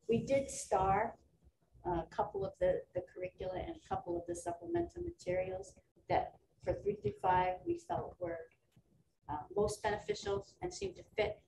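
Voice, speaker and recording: High, gravelly-voiced woman teacher presenting. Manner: earnest, thankful, lively. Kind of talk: presentation to a school board. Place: school meeting room. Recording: roomy, distant mic, clear background noise.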